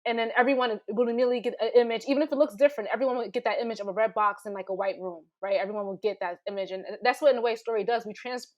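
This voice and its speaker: Woman, slightly high pitch, informal, halting, chatty panel talk. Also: muffled recording. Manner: animated, halting restarts, many "like"s. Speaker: panelist, storyteller. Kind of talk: informal explanation in a panel discussion.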